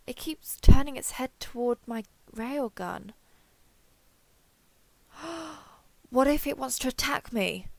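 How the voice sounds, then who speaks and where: A woman voicing an excitable, wary alien explorer, thinking aloud in a wry, hesitant internal monologue for an audio roleplay. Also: high-pitched, creaky, dry recording, full character voice. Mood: nervous resolve. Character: excitable, wary female alien explorer with blue-grey skin. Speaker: voice actor.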